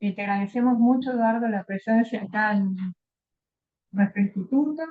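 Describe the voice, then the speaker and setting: A woman's voice: fairly high pitch, moderate pace. A woman with a Rioplatense Spanish accent introducing a guest speaker, reading a bio with fillers and tag questions. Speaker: event host. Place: lecture hall. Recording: tinny phone-like recording.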